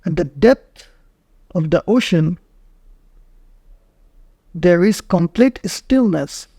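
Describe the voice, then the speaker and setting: A man narrating a guided meditation in short, measured phrases with frequent pauses. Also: sits high, expressive, dark tone, no echo, studio quality.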